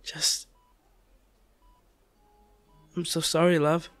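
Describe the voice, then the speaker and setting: A man's voice: fairly high pitch, flat delivery, a bit bright, no room sound, faint music behind. Man acting tender, anguished male lover, close and dry. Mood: grieving, tender. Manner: halting, stammering, emotional delivery. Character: tender, anguished male lover comforting an injured partner in hospital. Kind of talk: audio roleplay drama, one-sided dialogue.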